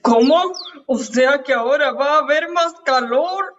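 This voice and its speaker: Over a dull, distant video call, a performer plays a worried tomato in a high voice. The words come slowly, full of stubborn questions, as in an improvised radio play. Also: a bit bright, a little hiss or hum. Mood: dismayed, stubborn. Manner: slow, questioning. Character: worried tomato who questions the humans and wants wind.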